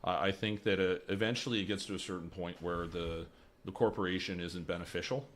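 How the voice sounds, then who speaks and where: A man's voice: low voice, steady tone, brisk, a bit bright, a little hiss or hum. A young man from British Columbia, a candidate answering interview questions in a radio studio, earnest and restarting words as he goes. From Lower Mainland, British Columbia. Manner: earnest, repeats words while he searches. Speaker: election candidate. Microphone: studio mic on boom arm.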